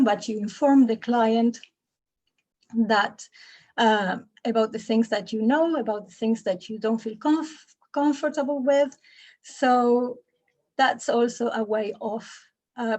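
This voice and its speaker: A woman giving a conference talk to freelancers, explaining in a measured way with fillers and restarts. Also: fairly high pitch, a little mellow. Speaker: conference speaker. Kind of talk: presentation to an audience.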